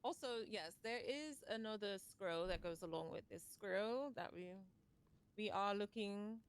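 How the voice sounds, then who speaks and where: A woman's voice: mid-range voice, expressive, a little slow, clear, resonant tone. A woman in a live roleplay playing a guild member who leads a lore discussion, hesitant, polite and inviting. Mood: explanatory. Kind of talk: improvised in-character roleplay, casual.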